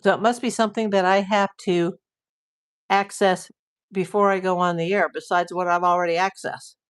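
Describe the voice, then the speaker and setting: A woman's voice: on the low side, fairly even intonation, normal speed, smooth. A middle-aged woman hosting a casual livestream, chatting and reading viewer comments while losing her voice. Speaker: livestream host.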